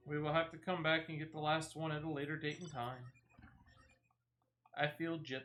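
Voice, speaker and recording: A streamer talks through his gameplay in a relaxed, chatty way, narrating each step as he goes. He throws in corny jokes at his own expense and apologizes for them. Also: on the high side, moderate pace, soft background music. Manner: chatty, self-deprecating jokes. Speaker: gaming streamer. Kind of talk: casual gameplay commentary.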